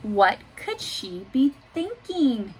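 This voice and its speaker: A woman in her thirties reading a children's picture book aloud in simple, deliberate phrases, adding little asides for young listeners. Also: on the high side, very animated, darkish tone, husky, rough recording. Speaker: school staff member reading aloud to pupils. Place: small home office room, indoors. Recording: noticeable room noise behind voice.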